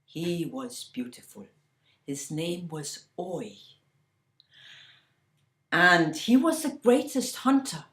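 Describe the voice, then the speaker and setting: A woman telling a fairy tale slowly and deliberately, sometimes dropping to a hushed whisper. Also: lowish voice, big pitch swings, reverberant. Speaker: storyteller. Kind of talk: oral fairy-tale storytelling.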